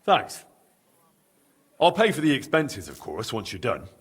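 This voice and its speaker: An earnest, forthright young master blacksmith from a medieval game. His voice sits at a middle pitch with animated intonation, speaking politely and naturally. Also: measured pace, husky, exaggerated voice. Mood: friendly, businesslike. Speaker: video game protagonist.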